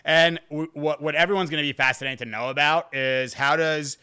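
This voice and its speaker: A man's voice: on the high side, steady tone, brisk, bright, forceful tone, clean tone. A man hosting a casual interview, talking in a hyped, slangy way with plenty of swearing. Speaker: podcast host.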